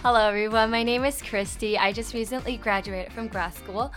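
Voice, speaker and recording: Woman in her 20s, radio guest, American accent, clear explainer with "um"s, faint music behind. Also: fairly high pitch, fairly even intonation, fairly quick. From Taiwan. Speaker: guest, interpreter.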